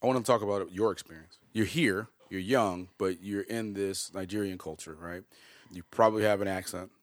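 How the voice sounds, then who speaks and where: Deep-voiced man hosting an interview. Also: normal speed, no echo. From United States. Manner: relaxed, reflective questioning with "right?" tags. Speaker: interview host. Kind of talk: conversational interview.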